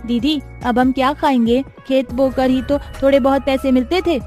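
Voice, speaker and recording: Woman acting a high-pitched young sister. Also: fairly even intonation, moderate pace, a little mellow, soft background music, close to an everyday voice. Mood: worried. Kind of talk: dramatised Hindi moral cartoon story.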